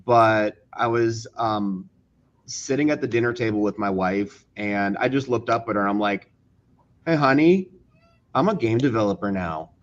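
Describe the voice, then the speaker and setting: A man's voice: lowish voice, normal speed. Male guest, slightly dull lo-fi audio. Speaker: interview guest, game developer. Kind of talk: casual chat and storytelling.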